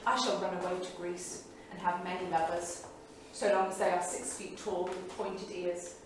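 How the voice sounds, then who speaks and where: A woman's voice: lowish voice, flat delivery, normal speed, close to an everyday voice. Woman acting a dramatic younger woman, very echoey. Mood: brazen, defiant. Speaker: actor. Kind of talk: staged reading of a play. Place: small theatre studio. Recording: distant, echoey theatre recording, faint noise.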